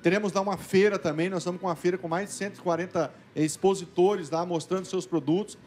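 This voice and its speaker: A man in his forties speaking as a guest in a live TV studio interview, energetic and promotional, holding a handheld mic. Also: high voice. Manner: energetic, promotional, fast-flowing. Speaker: event organizer guest. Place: TV news studio.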